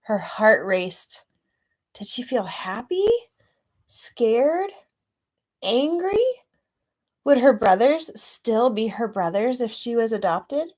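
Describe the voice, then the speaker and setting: A woman reading a children's storybook aloud expressively and gently, with chatty asides to young listeners. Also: fairly high pitch, lively intonation, slow pace, pauses often. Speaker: children's author reading own book aloud.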